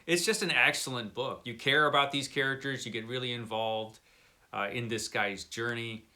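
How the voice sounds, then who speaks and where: A man's voice: mid-range voice, pushed and bright. A man hosting a book vlog, talking in a casual, chatty review style with wry, playful asides.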